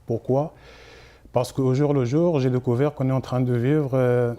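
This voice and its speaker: A man whose first language is Arabic, an author speaking as a guest in a television interview, measured and agreeing often. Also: medium pitch, steady tone, dark tone. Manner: measured, repeats "exactement" often.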